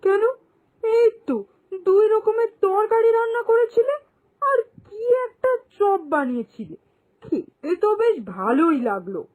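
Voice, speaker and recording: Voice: very high voice, animated, measured pace, phone-quality sound. A performer voicing a weary, easygoing office-going husband in a moral-story cartoon, homely and plain in manner. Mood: content.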